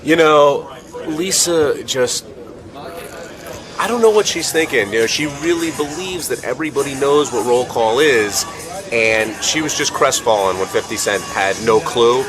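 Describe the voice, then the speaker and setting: A man's voice: mid-range voice, measured pace, music in the background. A man on a radio show's staff giving chatty, rambling commentary. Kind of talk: casual commentary.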